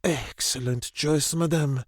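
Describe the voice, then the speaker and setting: A man's voice: fairly high pitch, slow, breathy edge, no room sound, studio quality. An actor playing a deferential male waiter at a luxury resort, formal, obsequious and hesitant. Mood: deferential, discreet.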